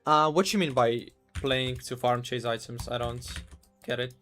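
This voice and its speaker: A man's voice: mid-range voice, steady tone, a bit bright, faint music behind. Man streaming casually. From Ukraine. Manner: halting, restarts and repeats words. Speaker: game streamer. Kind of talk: casual live-stream commentary.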